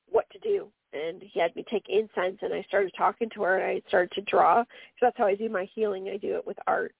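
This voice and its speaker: Woman, Canadian accent, radio co-host, rambling earnest chat, husky, dry tinny phone line. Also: mid-range voice, no room sound. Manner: meandering, earnest, frequent fillers. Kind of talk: casual radio talk.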